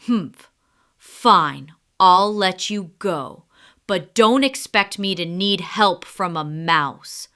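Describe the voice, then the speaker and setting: A woman puts on a grumpy, proud lion king for a children's storybook. He grumbles and booms with a forceful tone, swinging widely in pitch and drawing out his words. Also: animated, takes it slowly, heavily acted. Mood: grudging. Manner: grumbling, booming exclamations.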